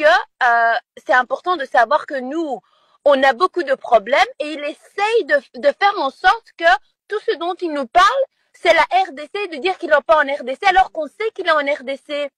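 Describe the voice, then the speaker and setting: Woman, high pitch, insistent interview guest, dry recording. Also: fairly bright tone, no echo. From Rwanda. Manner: insistent, repeats words when stumbling. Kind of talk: impassioned political interview.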